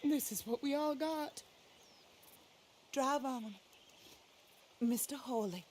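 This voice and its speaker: A woman's voice: sits high, slow pace, darkish tone, a little hiss or hum. A woman playing a vengeful, imperious widow in a game cutscene, speaking in a formal, theatrical and scornful way. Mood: solemn, grieving. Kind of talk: dramatic game cutscene acting.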